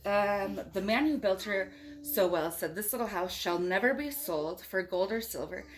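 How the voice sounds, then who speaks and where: A woman's voice: medium pitch, normal speed, slight background noise, rough recording. A woman doing a casual storytime read-aloud, speaking informally as a mother does to small children, with chatty asides and questions. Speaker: mother reading a picture book to young children and viewers.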